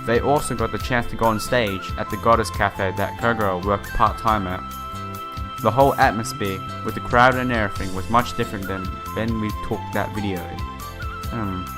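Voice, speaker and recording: A man's voice: lowish voice, steady tone, moderate pace. Man reading a game aloud over music. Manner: stumbles over words and rereads phrases. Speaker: gaming YouTuber playing a visual novel. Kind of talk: casual let's play, reading game text aloud with side comments. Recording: music playing behind the voice.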